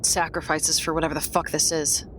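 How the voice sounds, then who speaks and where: A woman with a slightly low voice plays a tabletop game. She talks casually and haltingly, circling back over phrases, with noise in the background. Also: little pitch movement, a bit bright, low-quality recording. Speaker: player in a tabletop horror game. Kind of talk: casual improvised in-character table talk.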